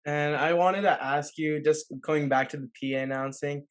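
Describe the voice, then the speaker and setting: A man's voice: a little high, fairly even intonation, moderate pace. A man interviewing in a casual, chatty way, with loose, slangy, youthful phrasing. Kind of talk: casual chat.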